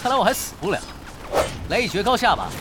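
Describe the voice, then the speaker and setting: A man's voice: sits high, wildly swooping pitch, quick pace, very noisy. A man playing a cocky, playful young expert gamer in a Chinese audio drama, teasing and nonchalant with a theatrical delivery. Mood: taunting. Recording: music behind the voice.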